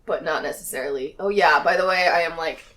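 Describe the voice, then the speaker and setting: A woman's voice: deep voice, quick pace, a bit bright. A woman playing a tabletop game, chatting and joking casually.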